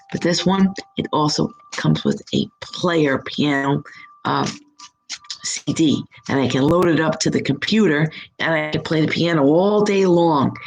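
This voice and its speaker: A hoarse, husky middle-aged woman hosting a live stream as a psychic reader, chatting in a rambling, upbeat way from her home. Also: sits low, a little hiss or hum, rough recording. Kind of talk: casual live-chat monologue.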